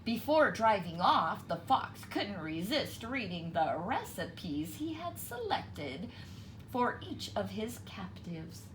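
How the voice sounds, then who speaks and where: A woman reading a children's picture book aloud with expressive storytelling narration. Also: medium pitch, slow, a little hiss or hum, low-quality recording.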